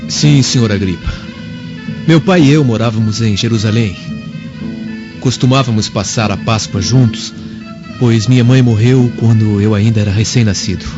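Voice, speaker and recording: A man's voice: lowish voice, normal speed, lightly acted. An actor playing a respectful, sombre young man in a radio drama. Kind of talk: Brazilian radio drama acting. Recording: dull lo-fi radio drama with music.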